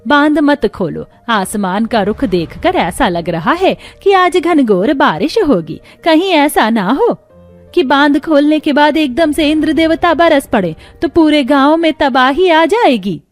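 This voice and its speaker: A woman's voice: sits high, lively intonation, measured pace, faint music behind, pitched above the performer's own voice. A woman voicing a kindly rustic village aunt in a cartoon story, with colloquial country phrasing. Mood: cautioning.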